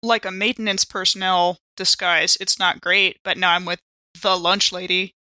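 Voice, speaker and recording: A woman's voice: lowish voice, little pitch movement, normal speed, pushed and bright, no room sound. A woman player in a tabletop game podcast, chatting and improvising in a casual, playful style.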